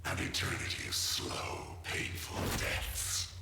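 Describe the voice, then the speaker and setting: A man voicing a sadistic, gloating jailer in a video game, threatening with slow, deliberate taunts. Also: echoey room, natural voice. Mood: gloating menace. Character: menacing male jailer, sadistic, gloating villain. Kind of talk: video game villain acting.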